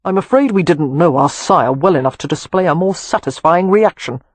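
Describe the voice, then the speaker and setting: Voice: mid-range voice, lively intonation, quick pace, mellow, husky, no echo. A man voicing a brusque, sardonic, brooding elder brother in audiobook dialogue.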